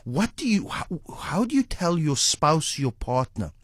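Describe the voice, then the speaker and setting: A man's voice: fairly high pitch, big pitch swings, unhurried, dry recording, studio quality. A man with a South African accent, a talk show host previewing his programme in a conversational way.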